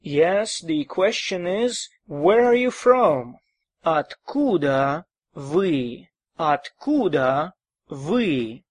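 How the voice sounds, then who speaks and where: Male language teacher. Patient, repetitive drilling, very unhurried, slightly high voice. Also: expressive, no room sound. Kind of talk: recorded language lesson.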